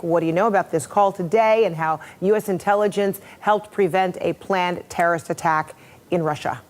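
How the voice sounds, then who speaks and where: A woman working as a news anchor, presenting and putting questions in broadcast style. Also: fairly low pitch.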